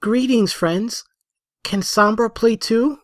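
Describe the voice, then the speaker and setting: A woman voicing a shy, earnest young orphan pony boy who speaks in a halting, stiff way. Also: fairly high pitch, dry recording, studio quality. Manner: halting, stiff childlike speech.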